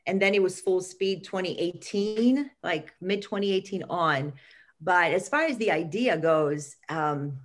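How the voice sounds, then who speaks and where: A woman from Bosnia with a Bosnian accent, a film director chatting in a video interview, laughing and correcting herself as she goes. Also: sits low. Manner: laughing, rambling, self-correcting. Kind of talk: casual interview chat.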